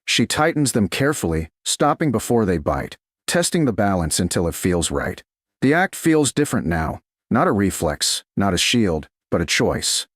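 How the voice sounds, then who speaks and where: A man reads a romance audiobook in a slow, soft, deliberate way. His voice is low but moves through a lively, melodic range. The recording is dry and close, with no audible room. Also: no echo. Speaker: narrator. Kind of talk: scripted audiobook narration of a romance.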